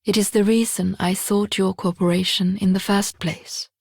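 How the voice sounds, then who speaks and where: An actress playing a grave, enigmatic prophetess with a raspy, creaky voice in a game monologue. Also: female voice, mid-range voice, steady tone, moderate pace, darkish tone, no echo, clean studio sound. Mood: grave, cryptic. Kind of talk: scripted game character monologue.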